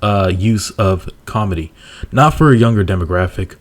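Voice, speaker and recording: A man narrating TV show commentary in wordy, somewhat halting explanations. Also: sits low. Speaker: video essay narrator.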